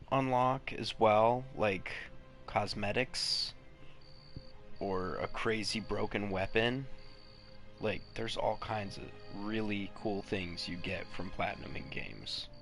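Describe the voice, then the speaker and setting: Young guy gaming live, deep gravelly voice, swears a lot and hums along. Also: slow, pauses often, a bit bright. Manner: chatty, laughs, swears, hums to himself. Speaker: game streamer. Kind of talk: casual live gameplay chat. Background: game music.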